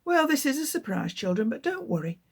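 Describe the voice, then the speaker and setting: A woman's voice: high voice, very animated, fairly quick, gravelly, husky, voice pushed up, full character voice. A woman voicing a reassuring alien father in a storybook reading.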